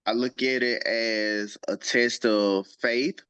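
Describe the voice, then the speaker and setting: A man's voice: medium pitch, fairly even intonation, slow, fairly bright tone, smooth, no room sound. A man in his thirties co-hosting a podcast, speaking in a reflective, earnest way and repeating key sayings for emphasis. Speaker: podcast co-host. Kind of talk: casual conversational podcast chat. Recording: slightly dull lo-fi sound.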